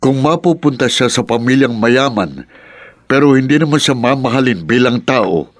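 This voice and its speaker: A man's voice: medium pitch, lively intonation, moderate pace, no room sound, studio quality, natural voice. A man acting in a Filipino radio drama as a middle-aged, concerned uncle who speaks in an earnest, admonishing way. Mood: earnest pleading. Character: concerned, protective uncle, the drunkard's younger brother.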